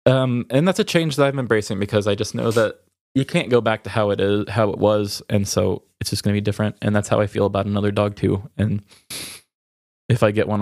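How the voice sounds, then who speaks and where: A man who hosts a podcast, talking candidly and haltingly in an emotional, reflective way while grieving. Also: lowish voice, a little mellow, no echo. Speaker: podcast host. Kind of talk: raw, candid personal conversation.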